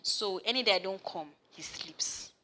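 A young Nigerian woman with a Nigerian accent, a massage therapist chatting as an interview guest and telling stories with animated bursts, recorded in a studio. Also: moderate pace, a little hiss or hum. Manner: animated storyteller, rises loud and high when excited. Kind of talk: casual interview chat. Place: studio set with sofa, indoors.

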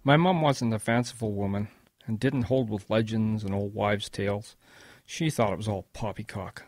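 A man's voice: lowish voice, steady tone, normal speed, darkish tone, natural voice. An actor playing a patient old grandfather telling of his wartime boyhood in a radio drama. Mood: patient, reminiscing.

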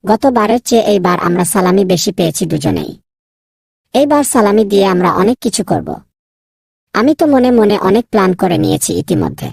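A woman voicing an excitable, friendly young boy in a children's cartoon, with a husky, creaky voice. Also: mid-range voice, fairly quick, no echo, clean studio sound. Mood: chatty.

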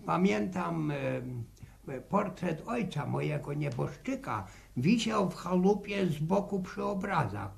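A man's voice: a little high, sing-song, slow, exaggerated voice. An actor playing a talkative, cautious old grandfather with a rural Polish accent, hesitant and repetitive, in a 1960 radio serial. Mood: wistful. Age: older. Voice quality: creaky elderly voice. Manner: hesitant, stammering and repeating words.

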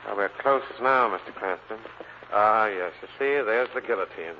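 Casting: man as courtly theater gentleman, lowish voice, calming and complimentary, muffled vintage radio mystery. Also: moderate pace, slight background noise, lightly acted. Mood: reassuring, courtly. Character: smooth, reassuring, flattering theater man. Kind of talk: 1940s radio acting. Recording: muffled old radio recording.

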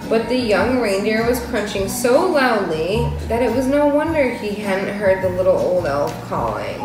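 Mom reading a picture book aloud, lively pitch, unhurried, very echoey room with music. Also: female voice. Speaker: mother reading to her daughter. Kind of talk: expressive bedtime-story reading. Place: living room sofa, indoors. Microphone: camera a few feet away.

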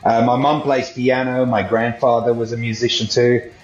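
A man from South Africa, a drummer, chatting as a guest on a video call interview in a relaxed, rambling way. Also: medium pitch, normal speed. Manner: relaxed, rambling, many fillers. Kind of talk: casual interview chat. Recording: roomy, dull video-call audio.

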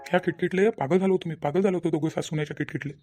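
A man's voice: on the high side, fairly even intonation, very fast, darkish tone, soft background music, natural voice. A man voicing a scheming young husband in a village cartoon, self-justifying and repetitive, with a Varhadi Marathi accent. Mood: exasperated, smug. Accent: Varhadi Marathi (Vidarbha). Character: exasperated, scheming newly married young husband.

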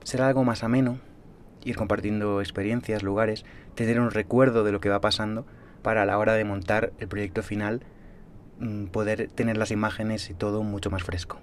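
Young guy from barcelona, spanish accent, lowish voice, calm personal travel diary talk. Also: moderate pace, slight background noise. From Spain. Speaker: travel vlogger. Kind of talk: reflective travel diary narration. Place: small bedroom in a hostel.

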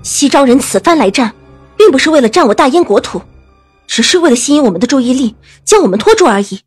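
An actress playing a grave young heroine with a husky voice in a Chinese period audio drama. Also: female voice, high-pitched, very animated, fast, soft background music, heavily acted. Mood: grave, urgent.

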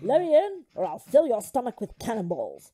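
A woman's voice: fairly high pitch, wildly swooping pitch, normal speed, warm tone, husky, heavily acted. A woman playing a vain, imperious lady devil with theatrical menace in an audio comedy drama. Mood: gleeful menace.

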